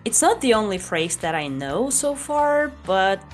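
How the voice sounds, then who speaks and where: A Russian woman in her late twenties who speaks English with a Russian accent and whose native language is Russian, introducing her vlog as an English teacher in a slightly shy, hesitant way with frequent pauses. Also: mid-range voice, big pitch swings, soft background music. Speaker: English teacher and channel host. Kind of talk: casual talking-head vlog introduction.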